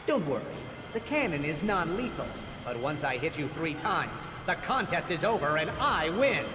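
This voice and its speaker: A man's voice: fairly high pitch, very animated, normal speed, music in the background. An actor playing a vain, bitter, theatrical male villain in a video game, with grandiose announcer-like delivery. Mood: showy, taunting.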